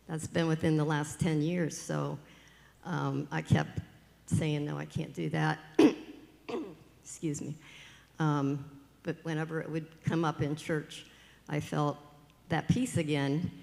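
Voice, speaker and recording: A woman's voice: sits low, vocal fry, darkish tone. Church panelist, woman, earnest and self-deprecating, echoing hall. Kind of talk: panel discussion. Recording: echoey hall.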